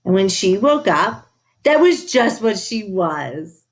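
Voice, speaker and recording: A woman reading a children's story aloud in an expressive, emphatic, teacherly way, with asides to her listeners. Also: medium pitch, slow, background noise. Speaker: storybook reader.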